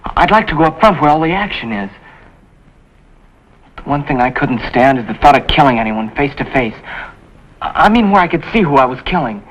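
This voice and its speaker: An actor playing an earnest young American Navy recruit who speaks hesitantly, in 1950s television drama style. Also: male voice, on the high side, sing-song, brisk, long pauses, fairly bright tone. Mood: troubled, confessional. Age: young adult. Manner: hesitant, repeats himself earnestly. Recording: dull, noisy 1950s television soundtrack.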